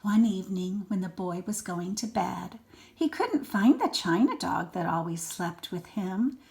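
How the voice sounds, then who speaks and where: Older woman, grandmotherly storybook reader, unhurried, with gentle murmured asides to a little listener. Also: medium pitch, very animated, vocal fry. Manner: gentle explaining to a small child, murmured asides. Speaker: grandmother reading a picture book aloud. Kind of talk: children's story read-aloud with chatty asides.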